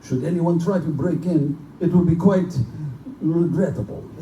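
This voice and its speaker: A man's voice: a little high, moderate pace, warm tone, lo-fi sound, close to an everyday voice. A man playing a genteel, pompous general who hunts men for sport, in a live theatrical horror drama. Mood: smug menace. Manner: urbane, pompous, condescending. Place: live performance in a bookstore. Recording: distant, echoey live room recording with noise.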